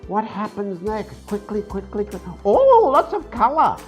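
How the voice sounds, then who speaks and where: A man's voice: high voice, wildly swooping pitch, moderate pace, soft background music. A man co-hosting a children's storytime, reading aloud with playful banter and often repeating phrases. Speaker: storyteller co-host.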